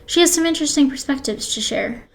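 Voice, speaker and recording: Casting: young female interviewer for a radio piece, voice on the higher side. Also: fast talker. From born in Sri Lanka, grew up in Canada. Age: young adult. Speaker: interviewer and host.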